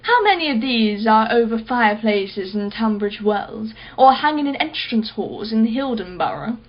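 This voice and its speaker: A woman's voice: fairly high pitch, very animated, unhurried, highs cut off. An actress playing a wealthy, condescending and sardonic female art collector in a radio play. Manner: condescending, mocking, self-satisfied.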